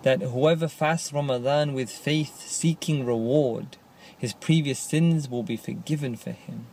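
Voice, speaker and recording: A man reads religious sayings in a devotional manner. His voice is mid-pitched, with faint ambient noise behind it. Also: a little slow, a little mellow. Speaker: religious reader. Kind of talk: short devotional reading in Arabic and English.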